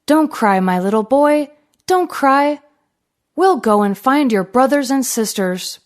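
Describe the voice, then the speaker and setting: Woman as a slow, motherly storybook nanny goat. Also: sits high, animated. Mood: comforting. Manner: motherly, instructive. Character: caring adult mother goat, gentle and protective.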